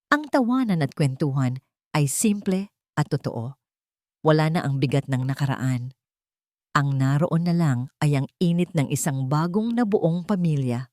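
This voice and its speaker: A woman narrating a scripted romance story in a measured, dramatic storytelling way. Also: sits low, a little mellow, no room sound, clean studio sound.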